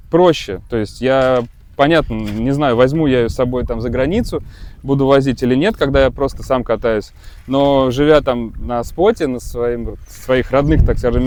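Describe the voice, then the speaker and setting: A man's voice: medium pitch, darkish tone, no room sound. A man who runs a kite school, giving a casual, rambling gear review with many hesitations and restarts.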